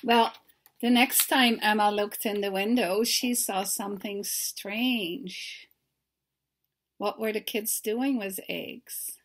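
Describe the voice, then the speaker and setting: A woman in her sixties telling a story to children as its author, pausing to put questions to them. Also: mid-range voice, fairly even intonation. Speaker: children's author reading aloud. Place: book-lined home study.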